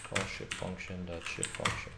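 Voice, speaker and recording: Gravelly low-voiced man muttering, noisy room. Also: sing-song, normal speed. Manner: muttering in short fragments. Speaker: game developer streaming his coding. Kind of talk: thinking aloud while coding, casual. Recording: noisy, roomy home recording with keyboard clatter. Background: computer keyboard typing and clicks.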